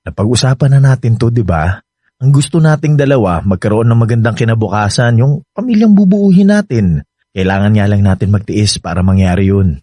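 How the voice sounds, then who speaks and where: Husky, lively Filipino boyfriend. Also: male voice, on the low side, brisk, no room sound. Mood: reassuring. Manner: sweet-talking, playful, coaxing. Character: devoted, reassuring young boyfriend heading abroad. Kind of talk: Filipino radio drama acting.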